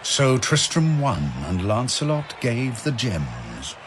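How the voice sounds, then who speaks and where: A man giving solemn, theatrical, measured narration of epic verse over atmospheric sound effects. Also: medium pitch, wildly swooping pitch. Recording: clear ambient noise behind narration.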